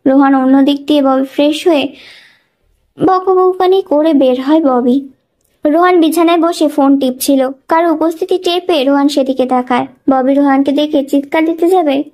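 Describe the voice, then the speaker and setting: High-pitched woman narrating a story. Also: mellow. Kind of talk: scripted dramatic story narration.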